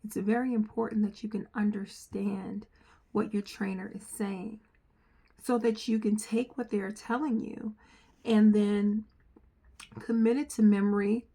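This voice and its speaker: A middle-aged woman from the United States, with an accent that mixes New Jersey, New York and North Carolina, talking earnestly to camera as a software trainer and repeating phrases for emphasis. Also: mid-range voice. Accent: mixed New Jersey, New York and North Carolina. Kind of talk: earnest direct-to-camera talk.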